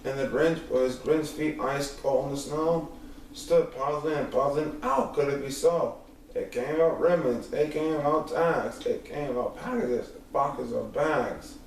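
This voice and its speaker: A man reading a rhyming children's story aloud as an expressive narrator. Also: medium pitch, unhurried. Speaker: storybook reader. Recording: very echoey room, distant mic, faint noise.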